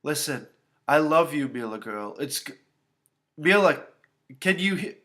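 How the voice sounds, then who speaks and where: A man voicing a loving, worried father in a narrated story, trying to sound brave, tender and halting. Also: medium pitch, fairly bright tone, natural voice. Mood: tender, urgent.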